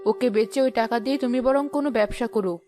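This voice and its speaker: A woman's voice: on the high side, fast talker, natural voice. A woman voicing a practical, consoling village wife and mother in a cartoon, reassuring but firm. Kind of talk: Bangla cartoon voice acting. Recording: music behind the voice.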